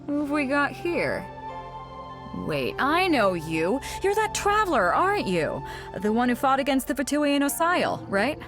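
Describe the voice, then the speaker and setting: A woman's voice: high voice, big pitch swings, measured pace, music in the background. Bold, swinging-voiced female ship captain. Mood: intrigued, friendly. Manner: breezy, confident, teasing. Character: bold, hearty female ship captain, swaggering and good-humoured. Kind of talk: video game story dialogue.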